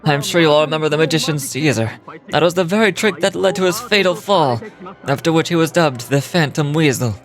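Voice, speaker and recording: A man voicing a theatrical young male stage magician from a game, knowing and cutting, with deliberate, pointed delivery. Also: a little high, soft background music, close to an everyday voice. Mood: showman's flourish.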